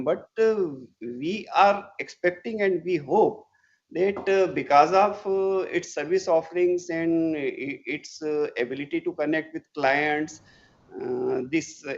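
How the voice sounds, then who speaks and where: A man with an Indian accent answering as a company executive on an earnings call, in a formal, measured way that repeats words for emphasis. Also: fairly high pitch. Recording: muffled conference call audio.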